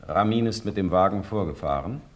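A man's voice: sits low, animated, brisk. An actor playing a commanding yet fatherly Prussian sovereign in a classical German radio play, with stately, declamatory speech.

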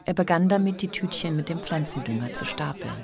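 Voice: medium pitch, animated, a little fast, crowd noise. A narrator reading literary prose in a scripted radio drama.

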